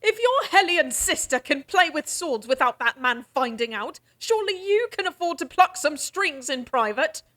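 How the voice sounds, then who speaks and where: A woman voicing a defiant young noblewoman with a high, bright-pitched voice. She speaks sharply and pushes back hard against a prince. The tone is quick-witted and challenging. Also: very animated. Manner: sharp, challenging, flustered when caught. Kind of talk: fantasy audio drama acting.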